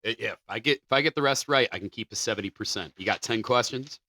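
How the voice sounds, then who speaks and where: A man talking casually as a podcast co-host and quiz contestant, halting a little and repeating his words. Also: mid-range voice, bright, forceful tone, no echo. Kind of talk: casual chat.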